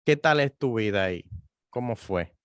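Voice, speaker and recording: A man's voice: fairly low pitch, expressive, moderate pace, a bit bright, no echo, studio quality. A man hosting a science podcast, introducing a guest and asking friendly, informal questions. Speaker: podcast host. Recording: music clearly behind the voice.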